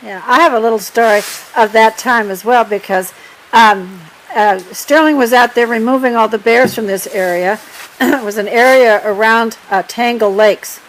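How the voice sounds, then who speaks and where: Older woman, slightly high voice, lively chatty storytelling. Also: normal speed, a little hiss or hum, low-quality recording. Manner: lively, laughs often, prompts partner. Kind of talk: casual storytelling.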